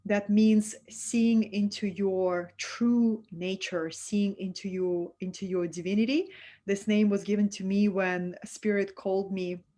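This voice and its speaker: A woman from Russia with a Russian accent, hosting a live-streamed spiritual talk and speaking softly and affectionately to her viewers. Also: mid-range voice, fairly even intonation, a little slow. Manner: soft, affectionate, reads out viewer comments.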